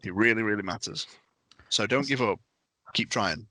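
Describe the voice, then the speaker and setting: Bright, forceful man on a podcast. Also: on the low side, normal speed, dry recording. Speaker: podcast panelist. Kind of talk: casual podcast discussion.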